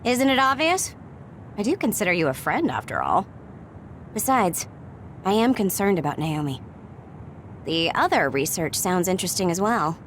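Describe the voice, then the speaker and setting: A woman's voice: fairly low pitch, big pitch swings, moderate pace, long pauses, a little hiss or hum. A woman playing a shrewd young soldier in a video game, wry, blunt and matter-of-fact. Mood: calm, wry. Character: shrewd, dry young female tech-savvy soldier. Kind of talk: dramatic video game acting.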